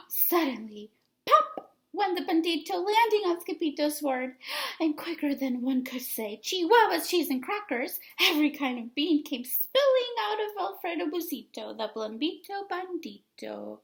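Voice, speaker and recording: A woman reading a children's story aloud with expressive, dramatic and emphatic narration. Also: high voice, a little slow, creaky. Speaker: storybook reader.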